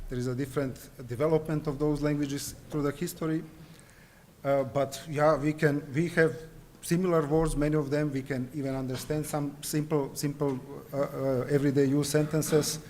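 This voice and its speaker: A man whose first language is Serbian gives an invited conference lecture, halting with many fillers and restarts. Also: fairly high pitch, flat delivery, a little mellow, a little hiss or hum. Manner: hesitant, frequent fillers and restarts. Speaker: conference presenter. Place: conference hall.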